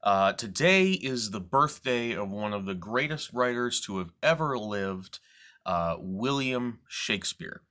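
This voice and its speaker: A man's voice: lowish voice, animated, unhurried, sharp tone. A man who works as an actor, casually introducing a dramatic reading to his viewers.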